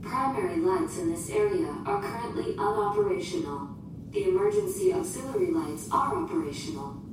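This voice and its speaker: A woman playing a polite, unemotional shipboard AI in a sci-fi audio drama, speaking in formal, precise, procedural phrases. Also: normal speed. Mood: polite, detached. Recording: distant, echoing, noisy with rumble.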